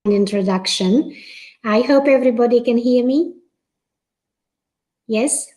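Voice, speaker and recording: A middle-aged woman from Romania giving a prepared conference talk as a guest professor over a video call, speaking in a careful, measured way with frequent "uh" and "um". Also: a little high, mellow. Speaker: guest panelist, associate professor.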